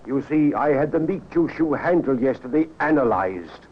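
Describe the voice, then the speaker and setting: An actor playing a stern, suspicious gentleman in an old time radio drama, curt and commanding. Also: mid-range voice, animated, moderate pace, natural voice. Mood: suspicious, accusing. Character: stern, suspicious gentleman brother of a sick man. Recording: muffled, noisy old radio recording.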